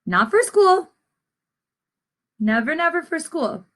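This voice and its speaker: A woman's voice: very high voice, big pitch swings, slow pace, fairly bright tone. A woman speaking instructively to children as a teacher, addressing them as friends while reading aloud and posing lesson questions.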